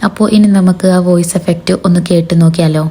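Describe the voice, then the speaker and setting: Fast-talking woman, mellow husky voice. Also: fairly low pitch, fairly even intonation. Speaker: video tutorial host. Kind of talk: step-by-step tutorial narration.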